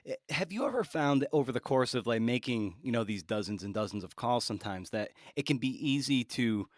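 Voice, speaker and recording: A man's voice: medium pitch, animated, fairly quick, dry recording, clean studio sound. A man hosting a podcast interview in a casual, conversational way, feeling his way toward each phrase as he rambles.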